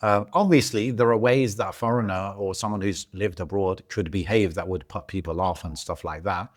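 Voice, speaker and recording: A man with a fairly low voice chats loosely on a podcast. He talks casually and keeps restarting his sentences. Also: moderate pace. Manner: relaxed, loose storytelling with many fillers and restarts. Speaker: podcast co-host. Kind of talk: casual chat. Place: lives in Algeria.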